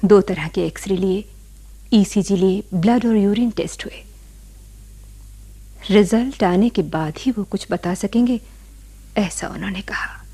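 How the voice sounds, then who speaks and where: Slow, breathy, devoted wife. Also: female voice, medium pitch. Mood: subdued, wistful. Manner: hushed, breathy, reflective. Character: devoted, worried wife quietly recalling past events. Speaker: radio drama actor. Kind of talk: dramatic first-person narration in a radio play.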